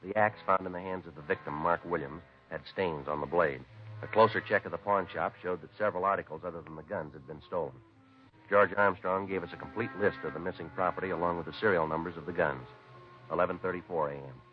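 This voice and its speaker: An actor playing a terse, businesslike police sergeant in a vintage radio crime drama, with clipped, deadpan delivery. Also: male voice, low voice, fast talker, natural voice. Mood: flat, factual. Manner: clipped, deadpan, matter-of-fact. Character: terse, businesslike male police sergeant narrating his case. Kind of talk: 1950s radio crime drama acting and narration. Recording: muffled vintage radio recording with music.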